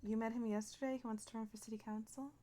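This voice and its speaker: A woman's voice: a little high, steady tone, fast, dark tone. A woman talking in character during a roleplay, playful and slipping into Spanish phrases. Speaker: roleplay player.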